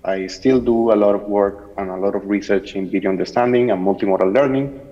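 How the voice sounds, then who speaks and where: A man from Colombia joins a panel remotely in a low, mellow voice. He talks casually, leaning on fillers. The audio is dull and lo-fi and plays back through a reverberant hall. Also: fairly even intonation, a little fast. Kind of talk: conference panel talk. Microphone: remote call audio.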